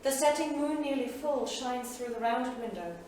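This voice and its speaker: A woman's voice: high-pitched, moderate pace, a little mellow, lightly acted. A woman playing a formal stage narrator, reading out verse and scene directions like a storyteller. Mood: scene-setting. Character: female stage narrator announcing dates and scenes. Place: small studio theatre, staged reading. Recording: echoey theatre, distant mic, faint noise.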